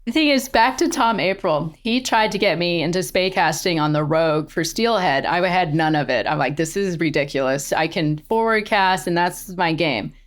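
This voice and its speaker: A woman's voice: on the low side, normal speed, hardly any pauses. A middle-aged woman, a podcast guest and angler, telling her stories with enthusiasm and frequent laughs. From born in Australia, raised in the eastern United States. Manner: enthusiastic storyteller, laughs often. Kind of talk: casual interview chat.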